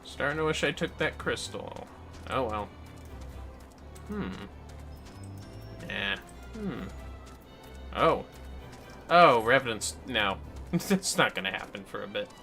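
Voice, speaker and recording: A man's voice: mid-range voice, very animated, slow, pauses often, music in the background. A man giving casual, playful, sweary commentary on a gaming livestream, prone to exclamations.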